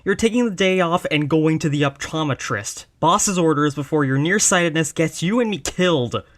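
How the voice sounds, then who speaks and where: Man acting a wry, high-pitched mafia boss. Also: moderate pace, lightly acted. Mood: exasperated. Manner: conversational, exasperated, sardonic. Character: apologetic, exasperated, wry, flirtatious mafia boss. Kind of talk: roleplay audio drama monologue.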